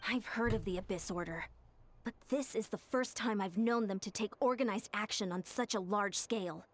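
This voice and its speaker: A woman voicing a brisk, friendly young patrol leader in a video game, polite and businesslike. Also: mid-range voice, slight background noise, lightly acted. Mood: serious. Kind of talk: game voice acting.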